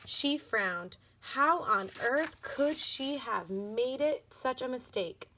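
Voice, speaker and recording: A woman reading a children's book aloud as an expressive storybook narrator. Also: high-pitched, slow pace, a little hiss or hum, rough recording.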